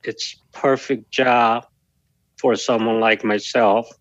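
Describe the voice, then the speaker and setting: A middle-aged man whose first language is Korean, answering interview questions slowly with many pauses and fillers. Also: mid-range voice, fairly even intonation, dry recording, lo-fi sound. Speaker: guest. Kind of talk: podcast interview.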